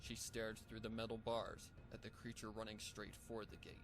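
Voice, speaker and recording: A man's voice: fairly low pitch, little pitch movement, normal speed, over music. A man reading a scripted story as a dramatic narrator, with a noisy background. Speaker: fan fiction narrator.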